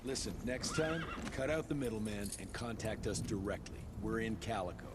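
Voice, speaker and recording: An actor playing a gruff, sardonic monster hunter of the Old West in a video game cutscene, terse, dry and no-nonsense. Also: male voice, lowish voice, animated, moderate pace, lightly acted. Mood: dry, wry. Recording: loud ambient noise behind the voice.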